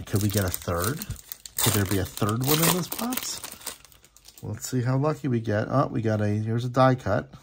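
A man with a gravelly, husky voice giving casual, chatty, appreciative running commentary as he hosts a card-opening video at a table indoors. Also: mid-range voice, expressive, moderate pace, noisy background. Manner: chatty, appreciative, reading names aloud. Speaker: host opening sports card packs.

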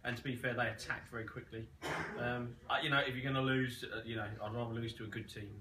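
A man's voice: mid-range voice, little pitch movement, fast, a crowd in the background. A man, an amateur footballer, giving cheeky post-match comments in a small clubhouse room. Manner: cheeky, joking. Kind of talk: casual post-match interview. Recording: distant, echoey, noisy.

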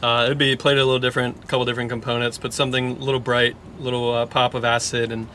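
Calm young chef talking fast, flat. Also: male voice, mid-range voice, slight background noise. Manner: calm, matter-of-fact, explains plainly. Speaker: guest chef. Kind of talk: casual explanation while cooking. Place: camper van kitchen.

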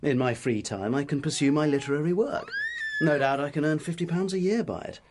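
An actor playing a proud, struggling Victorian writer in a radio drama, English-accented, earnest, formal and brittle. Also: male voice, a little high, a little fast, noisy background, close to an everyday voice. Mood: defiant, bitter. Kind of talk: period radio drama acting.

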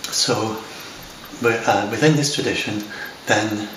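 Older English man, low-ish voice, halting chat to camera, distant, noisy home recording. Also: fairly bright tone, echoey room. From lives in Scotland. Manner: rambling, hesitant, many fillers and restarts. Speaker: software developer talking to camera. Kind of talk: unscripted talk to camera. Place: home room by large windows. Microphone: far camera.

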